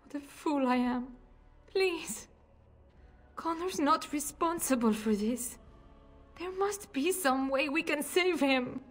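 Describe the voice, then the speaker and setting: A woman's voice: high voice, slow pace, pauses often, faint music behind. A woman playing an anguished, protective noble mother in a fantasy video game, pleading and emotional.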